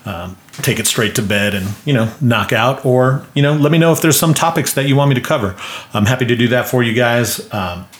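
A man hosting his clinic's podcast solo, talking casually in a self-described monotone, with many fillers and rhetorical questions. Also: medium pitch, brisk. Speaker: podcast host and clinic owner.

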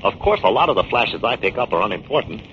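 A man's voice: on the low side, very animated, fast talker. A man playing a firm, earnest scientist crime-fighter with an authoritative manner in a 1940s radio drama. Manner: authoritative, explanatory. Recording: muffled, noisy 1940s radio recording.

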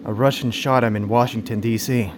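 A man's voice: medium pitch, fairly even intonation, brisk, noisy background, natural voice. An actor in an audio drama playing a troubled, guarded young man of nineteen who speaks hesitantly. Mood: somber. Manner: hesitant, subdued. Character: troubled, guarded nineteen-year-old man, fatherless after war.

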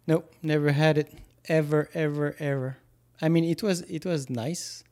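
A man's voice: a little high, steady tone, moderate pace, a little mellow. A man co-hosting a casual podcast chat who talks hesitantly, with many restarts. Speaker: podcast co-host.

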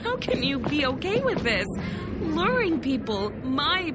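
A woman's voice: high-pitched, sing-song, measured pace, loud music behind the voice. A woman acting in a sci-fi audio drama as a desperate, insistent character, speaking in an urgent, pleading way. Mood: pleading, accusing.

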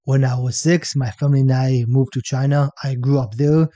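A young man from Israel with an Israeli accent, chatting as a podcast guest and telling stories in a relaxed way, often ending points with "right?". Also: medium pitch, a little fast, dry recording. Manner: relaxed storytelling, tags statements with "right?". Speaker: podcast guest, fitness coach. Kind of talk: casual interview chat.

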